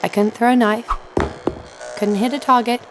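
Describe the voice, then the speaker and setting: A woman's voice: fairly high pitch, steady tone, moderate pace, over music, natural voice, rough recording. A woman playing a hot-tempered young female fighter in an audio drama, blunt and sarcastic. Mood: wry, storytelling. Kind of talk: first-person audio drama narration and dialogue.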